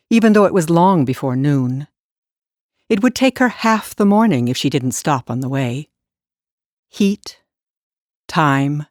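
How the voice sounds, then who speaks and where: A woman reading a scripted story as an audiobook narrator, in a measured, deliberate way. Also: sits low, a little mellow, no echo, clean studio sound.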